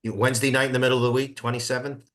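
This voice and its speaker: A man's voice: medium pitch, level tone, very fast, fairly bright tone. A man taking part in a casual planning discussion on a group teleconference. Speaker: meeting participant. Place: remote teleconference call.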